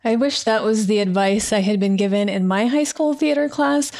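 A woman with a small, soft voice chatting casually as the interviewer. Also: medium pitch, normal speed, creaky. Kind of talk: casual chat.

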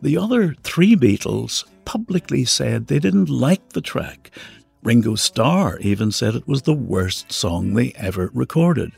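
A man's voice: mid-range voice, very animated, measured pace, gravelly, a little mellow, husky. A man reading scripted documentary narration as the host of a music podcast.

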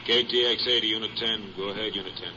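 Dispatcher: efficient, very sharp-edged, quick delivery through a radio filter, on a vintage western drama recording. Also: male voice, lowish voice, steady tone. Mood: businesslike. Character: brisk, efficient male police radio dispatcher. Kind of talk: 1950s radio drama acting, clipped radio procedure. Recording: muffled, hissy old radio recording.

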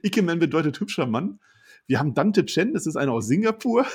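A man with a husky, slightly breathy voice runs through a list in easy podcast chatter. He slips in deadpan jokes as he goes. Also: a little high, fairly quick. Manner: rambling roster rundown with dry jokes. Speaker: podcast co-host. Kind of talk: casual wrestling chat.

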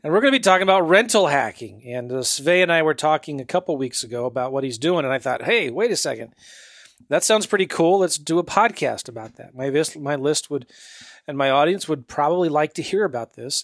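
A man's voice: fairly high pitch, expressive, brisk, a bit bright, dry recording, studio quality. A man hosting a podcast interview, upbeat and chatty, laughing easily. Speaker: podcast host.

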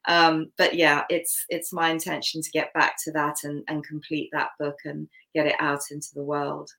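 Dark-voiced Canadian-born woman, low and bright. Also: monotone, normal speed, gravelly. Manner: open, reflective, laughs easily. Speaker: guest. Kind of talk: casual interview talk.

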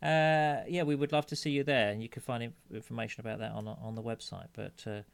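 A man's voice: mid-range voice, fairly even intonation, fast, creaky, clear, resonant tone. A man co-hosting a podcast in casual chat, thoughtful and hesitant as he searches for the right words, with a dry self-mocking humor. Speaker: podcast co-host.

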